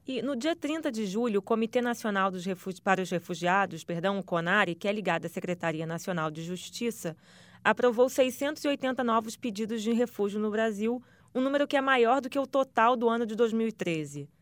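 A woman's voice: mid-range voice, brisk, dry recording. A woman interviewing a guest for radio, asking formal, polite questions.

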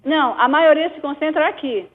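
A Brazilian-accented woman speaks over a thin, tinny phone line. Her voice sits high, and she answers questions hesitantly, with many false starts and fillers, as a town mayor. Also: a little fast. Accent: Brazilian Portuguese.

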